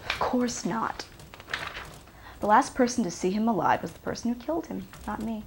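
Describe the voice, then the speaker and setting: Blunt, defiant woman with a gravelly edge, acted. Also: mid-range voice, moderate pace, natural voice. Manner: blunt, sardonic, challenging. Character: defiant, bitter ex-girlfriend questioned by police. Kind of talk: naturalistic film acting. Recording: faint background noise.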